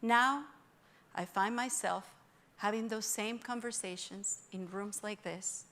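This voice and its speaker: A woman's voice: medium pitch, a bit bright. A middle-aged woman from Venezuela with a Venezuelan Spanish accent, giving a reflective, earnest personal speech at a forum. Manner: reflective, earnest, measured pauses. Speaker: forum speaker. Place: conference room with audience.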